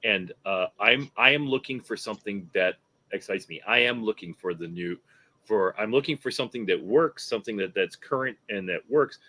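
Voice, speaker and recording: A man's voice: lowish voice, normal speed, pushed and bright. A man speaking casually as an industry panelist, emphatic and repeating himself to make his points. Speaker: industry panelist who reviews scripts.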